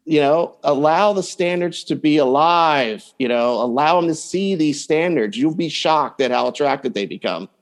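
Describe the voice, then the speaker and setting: A man's voice: on the high side, lively intonation, moderate pace, hardly any pauses. A man giving conversational advice as a coach and podcast guest, often voicing hypothetical remarks as he explains.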